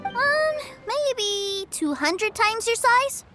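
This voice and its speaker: Eager little boy, very high and lively. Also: very slow, loud music behind the voice, full character voice. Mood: proud, earnest. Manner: childlike, wide-eyed, excitable. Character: eager, naive little boy, curious and innocent. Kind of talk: animated game voice acting.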